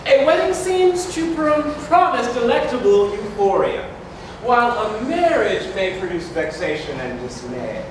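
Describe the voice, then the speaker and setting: A man playing a grand, festive epilogue speaker in a wedding masque, declaiming flowery, measured verse on stage. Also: extremely high pitch, sing-song, far from the mic, heavily acted. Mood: grandly festive. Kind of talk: theatrical verse staged reading. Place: community hall stage. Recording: echoey hall from afar, clear noise.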